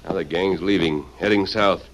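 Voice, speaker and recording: A man's voice: low voice, normal speed, close to an everyday voice. An actor playing a heroic, decisive masked cowboy lawman in a radio western, speaking in an earnest, commanding manner. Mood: observant, tense. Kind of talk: old-time radio western acting. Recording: noisy old radio recording.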